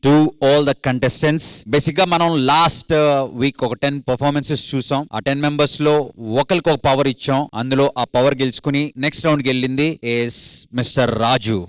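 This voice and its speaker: A man with a Telugu Indian accent speaking as a dance show judge, deliberate and mixing in English phrases. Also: medium pitch, steady tone, smooth, no echo. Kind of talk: explaining competition rules on stage.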